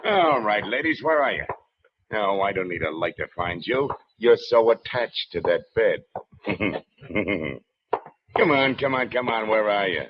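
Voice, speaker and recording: A sneering arsonist thug. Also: male voice, on the low side, lively intonation, a little slow. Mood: taunting. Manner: sneering, taunting tough-guy slang. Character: cruel male arsonist thug, gloating and crude. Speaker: kidnapper. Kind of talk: 1940s radio acting. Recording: muffled 1940s radio broadcast with loud hiss.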